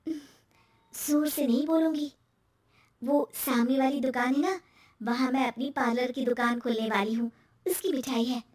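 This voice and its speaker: Woman acting a very high-voiced chatty young wife. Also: steady tone, moderate pace, distant mic, close to an everyday voice. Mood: happy. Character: cheerful, talkative young married woman, easily worried. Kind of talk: cartoon moral story acting.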